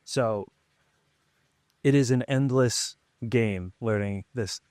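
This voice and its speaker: A man hosting a podcast, giving relaxed, rambling advice in casual conversation. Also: medium pitch, takes it slowly, dry recording. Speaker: podcast host.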